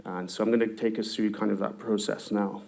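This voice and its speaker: Man, researcher presenting slides at a conference; somewhat low voice, halting, filler-heavy delivery. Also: level tone, gravelly, darkish tone. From not from Galway. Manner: hesitant, many ums and restarts.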